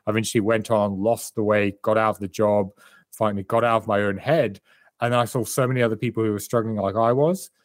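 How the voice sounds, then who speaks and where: A man's voice: fairly low pitch, steady tone, fairly quick, no echo, studio quality. A man in his thirties from South Africa, a performance coach and author answering interview questions in a candid, reflective way over a remote call.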